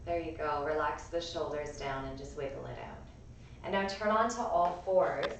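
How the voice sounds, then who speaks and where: A woman with a raspy, strained voice gently cueing breath and movement as a yoga and pilates instructor. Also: deep voice, normal speed, slight background noise. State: strained voice from overuse. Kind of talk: guided class instruction. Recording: echoey room, voice from far off.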